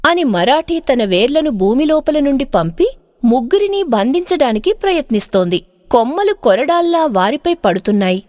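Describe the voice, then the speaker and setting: A woman's voice: high-pitched, big pitch swings, normal speed, a little mellow, dry recording, studio quality. A woman narrating a storybook tale in a dramatic, scripted style.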